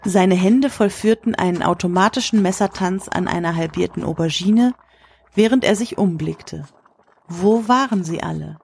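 A woman with a husky voice reads scripted literary narration for an audio drama. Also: lowish voice, moderate pace, darkish tone.

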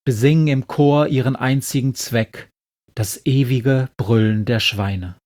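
A man recites poetry dramatically at a slow, deliberate pace. His medium-pitched voice is solemn and emphatic, recorded dry and close. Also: darkish tone, no room sound. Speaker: poet reading own poem.

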